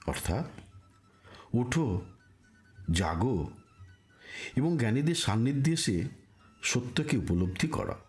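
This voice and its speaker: A man delivering a scripted spiritual narration in a solemn, deliberate manner, with rhetorical pauses. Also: medium pitch, a little mellow.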